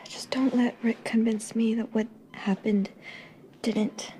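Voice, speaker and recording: An actress playing a hurt, bitter young wife in a TV soap, speaking in a halting, fragile way. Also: female voice, fairly high pitch, level tone, dark tone, slight background noise. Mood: fearful accusation. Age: young adult. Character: wounded, bitter young wife in a hospital bed. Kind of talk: TV soap opera acting.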